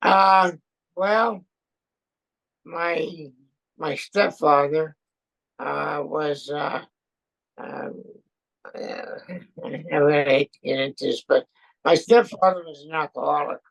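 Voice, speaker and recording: A man, a veteran speaking hesitantly as an interview guest, with many pauses and fillers. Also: a little high, vocal fry, low-quality recording. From born in Austria, raised in Brooklyn and France. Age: 70s. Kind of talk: casual chat.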